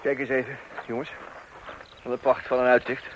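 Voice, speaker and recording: A man's voice: mid-range voice, flat delivery, normal speed, highs cut off, slight background noise, lightly acted. An actor playing a jovial father who also narrates, chatting and speaking directly to the listener. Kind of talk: radio play acting.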